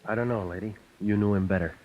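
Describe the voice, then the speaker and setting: An actor playing a tough, weary, wisecracking private detective in 1940s radio style, speaking in a clipped, dry, deadpan way. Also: male voice, lowish voice, quick pace, no echo, natural voice. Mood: dry, unflustered. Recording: muffled vintage radio recording with music.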